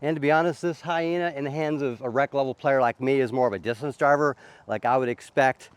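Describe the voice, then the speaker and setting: A man's voice: mid-range voice, steady tone, a little fast, clean tone, no room sound. A man with a sore throat from a cold, chatting casually as host of a disc golf vlog on an outdoor course. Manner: easygoing, chatty, frequent uhs.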